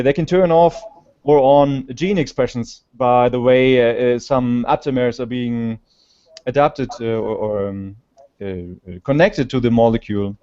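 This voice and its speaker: A man with a German accent giving a remote conference talk, halting with frequent fillers and restarts. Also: mid-range voice, a little mellow. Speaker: conference speaker. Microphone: video call. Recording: dull lo-fi call audio.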